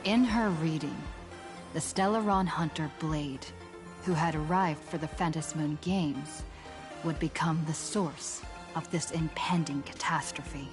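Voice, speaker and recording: A woman playing a storyteller who narrates an epic fantasy game saga in a formal, measured, scripted manner. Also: sits low. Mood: solemn, measured. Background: game music.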